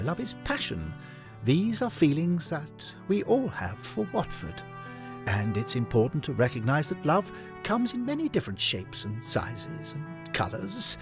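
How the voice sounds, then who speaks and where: A man voicing a genteel, repressed older Victorian gentleman in an audio story, speaking in a measured, formal, old-fashioned manner. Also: a little high. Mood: tender, hesitant.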